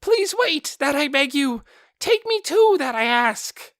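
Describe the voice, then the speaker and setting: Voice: high-pitched, big pitch swings, slow, vocal fry, a bit bright, no room sound, studio quality, voice pushed up, exaggerated voice. A man voicing a robust, devoted female magical beast in an audiobook, with quaint, dignified phrasing and eager exclamations. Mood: pleading.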